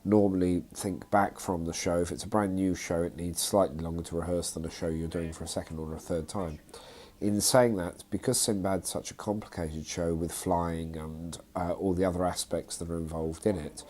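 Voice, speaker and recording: A man's voice: deep voice, warm tone. A man speaking off the cuff in an interview as a theatre company director, with faint noise behind him. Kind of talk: unscripted interview.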